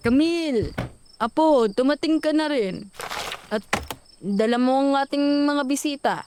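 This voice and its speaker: A woman voicing an eerie old village aunt who greets her niece's guests with slow, sinister warmth. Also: high voice. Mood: eerie welcome. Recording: clicky noisy recording with crickets.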